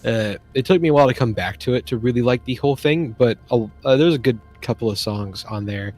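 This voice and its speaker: A man's voice: mid-range voice, fast, darkish tone, faint music behind. A man chatting casually on a stream, rambling enthusiastically and often trailing off and restarting.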